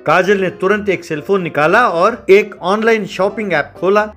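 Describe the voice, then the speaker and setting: Man, high-pitched voice, scripted comic story narration, faint music underneath. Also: moderate pace, fairly bright tone.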